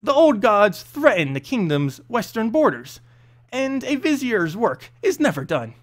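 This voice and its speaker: A sly, middle-aged court vizier from a fantasy tale, acted out by a man reading aloud. His voice sits high and rises and falls widely. He is oily and ingratiating, choosing his words with deliberate care. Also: very animated. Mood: placating.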